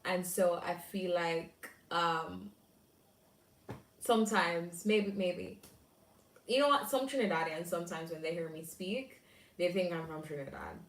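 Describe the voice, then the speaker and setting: A woman's voice: on the low side, echoey room, far from the mic, rough recording. A young Jamaican woman chatting casually on a livestream, reading and answering viewer comments aloud. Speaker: livestream host.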